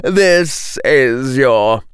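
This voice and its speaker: A man's voice: medium pitch, expressive, extremely slow, clear, resonant tone, dry recording, studio quality. A man voicing a smug older teenage boy, a bully with a mock-jovial, condescending manner. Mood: falsely jovial, taunting.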